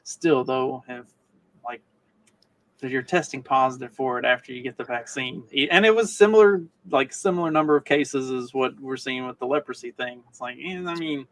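A man's voice: medium pitch, moderate pace, fairly bright tone. A man co-hosting a live podcast, talking casually and conversationally. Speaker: podcast co-host.

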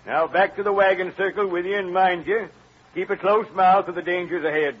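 Gruff wagon guide, old radio. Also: male voice, high-pitched, fairly even intonation, a little fast. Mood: stern, grave. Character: gruff, seasoned, commanding wagon train guide. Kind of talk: old-time radio western acting. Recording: dull vintage radio recording, noisy background.

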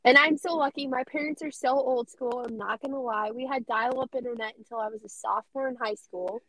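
A young woman with a high, squeaky voice, chatting casually and bubbly as a podcast guest. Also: a little fast, fairly bright tone, no echo, low-quality recording. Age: young adult. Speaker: radio host guest.

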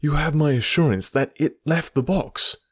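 A man reading an audiobook, voicing an agitated young government secretary who speaks in excitable, indignant outbursts. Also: fairly high pitch, normal speed, slightly rough, dry recording, studio quality, voice pushed up.

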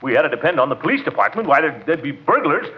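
Stuffy excitable american commissioner, highish voice that goes all over, old radio comedy. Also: male voice, fast. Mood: indignant. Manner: pompous, excitable, self-important. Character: pompous, blustering middle-aged small-town commissioner. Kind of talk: 1940s radio comedy acting. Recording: muffled old radio recording.

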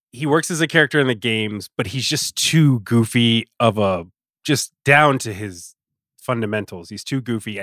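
A man giving his opinions as a guest in a casual discussion, emphatic and deliberate, leaning on key words. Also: on the low side, sharp tone, dry recording, clean studio sound. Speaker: podcast guest, staff writer. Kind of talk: conversational opinion and movie discussion.

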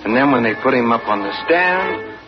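A man's voice: mid-range voice, animated, normal speed, natural voice. An actor playing a young country man who narrates in a folksy rural way. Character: young country man telling his father's story. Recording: muffled old radio with music.

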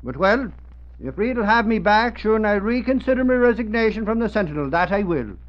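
An actor playing an excitable, boastful Irish newspaper reporter in 1940s radio drama style. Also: male voice, high voice, brisk. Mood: blustering. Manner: blustering, indignant. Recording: dull old 1940s radio recording.

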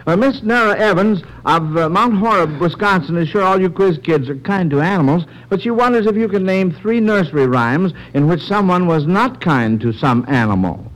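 A man with a fairly high voice hosts a vintage radio quiz. He is agreeable and encouraging, often halting as he works through his phrases. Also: lively intonation, rough recording. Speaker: quiz show host. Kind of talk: old-time radio quiz hosting.

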